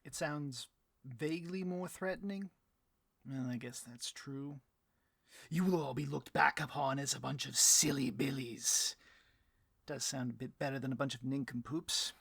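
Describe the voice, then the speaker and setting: A man playing a vain, easily riled supervillain boss in a comedic audio roleplay, grandiose and melodramatic, with a husky voice. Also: mid-range voice, unhurried, a bit bright, no echo, clean studio sound, heavily acted. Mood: grudging, musing. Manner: grandiose, melodramatic, petulant speechifying.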